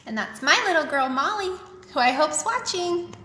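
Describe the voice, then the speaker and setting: A woman reading a picture book aloud to children, with expressive, simple phrasing and chatty asides. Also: high voice, normal speed, slight background noise. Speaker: children's author reading her own book. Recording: echoey, reverberant room.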